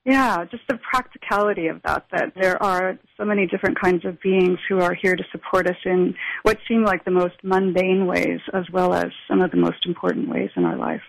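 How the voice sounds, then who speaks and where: A woman with a gravelly, creaky edge to her voice answers interview questions in a thoughtful way. She pauses often and restarts her sentences as she reflects. The recording is close and dry. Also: on the low side, animated, no room sound, poor audio. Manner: thoughtful, with frequent fillers and restarts. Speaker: spiritual practitioner and teacher.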